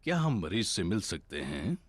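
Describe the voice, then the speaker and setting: Deep, animated daddy pig. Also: male voice, normal speed, no room sound. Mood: playful. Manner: playful, gently theatrical. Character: good-natured, playful daddy pig. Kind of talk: children's cartoon dub.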